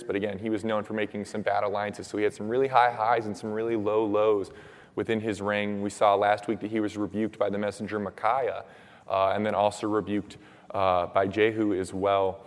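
A young man with a hoarse, raspy voice preaching and praying in casual, earnest words with many fillers. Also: on the low side. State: hoarse from shouting at camp. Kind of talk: sermon with prayer. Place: church hall. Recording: long reverb of a church hall.

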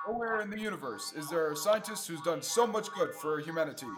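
A man's voice: on the high side, animated, fast talker, music in the background, natural voice, rough recording. A young man playing an eager, adventurous space hero in old-time radio serial style, earnest and full of gee-whiz enthusiasm. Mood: earnest, proud. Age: young adult. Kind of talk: 1930s radio serial acting.

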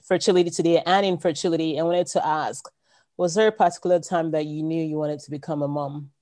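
Host: woman from Nigeria, very low voice, casual interviewer who laughs easily. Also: fairly even intonation, quick pace, mellow. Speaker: podcast host.

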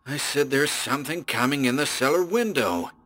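A man voicing a terrified male radio announcer chained in a house, talking in frantic, pleading bursts that turn shrill. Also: lively intonation, moderate pace, a bit bright. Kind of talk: audiobook character voice.

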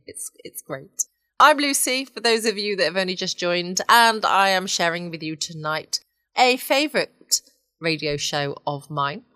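A woman with an English accent hosting a late-night podcast from home, chatting casually in a relaxed, gently self-mocking way. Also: on the low side, dry recording, studio quality. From England. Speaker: podcast host. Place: at home, close dry recording.

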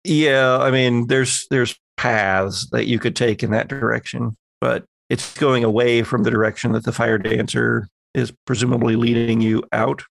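A man's voice: medium pitch, steady tone, moderate pace, creaky, few pauses, no room sound. A man, narrating a tabletop horror roleplaying game as game master.

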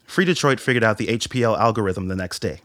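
An actor playing a serious, sharp-minded army major in a radio drama, speaking in a measured, matter-of-fact way. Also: male voice, fairly low pitch, no room sound, studio quality, close to an everyday voice. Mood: serious, focused.

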